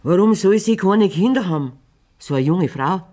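Bitter bavarian farm wife, low for a woman, very lively pitch, stubborn, radio drama. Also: normal speed. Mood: suspicious. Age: middle-aged. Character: bitter, stubborn, worn-down farmer's wife refusing divorce. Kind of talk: German dialect radio play acting.

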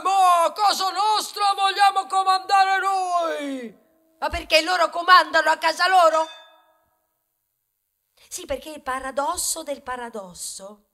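A woman's voice: sits high, bright, forceful tone, exaggerated voice. A woman playing a self-important, wounded talking grain of wheat in a theatrical monologue, confiding rhetorically in the audience. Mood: indignant, bitter. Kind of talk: stage monologue in a radio theatre broadcast.

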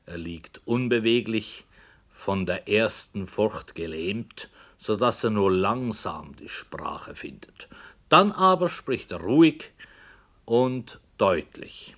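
An actor playing a brooding, hesitant author who tells a dark story in a slow, hushed voice that sometimes drops to a whisper, in 1960s radio play style. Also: male voice, lowish voice, very animated, exaggerated voice. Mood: musing.

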